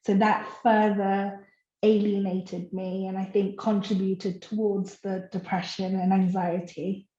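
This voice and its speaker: A woman's voice: mid-range voice, level tone, measured pace, dark tone. A woman in her twenties, raised in the Philippines and a native Tagalog speaker, speaks openly and reflectively as a panel guest, with frequent ums. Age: 20s. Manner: open, reflective, with many ums. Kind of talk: video panel discussion. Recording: roomy, dull-sounding audio.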